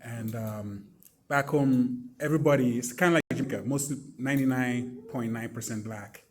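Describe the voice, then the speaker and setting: A man's voice: medium pitch, moderate pace, slight background noise. A man from Ghana speaking thoughtfully in a panel discussion, hesitating often with fillers. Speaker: panel participant.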